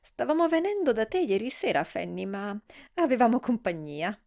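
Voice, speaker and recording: A woman's voice: fairly high pitch, very animated, moderate pace, gravelly, a little mellow. A woman voicing a curious, flirtatious middle-aged woman who asks eager, prying questions.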